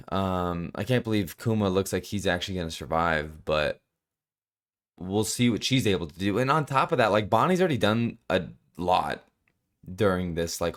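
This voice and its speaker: A man giving casual, unscripted commentary as a reviewer, rambling and halting as he goes and often repeating himself. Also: deep voice. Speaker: manga chapter reviewer.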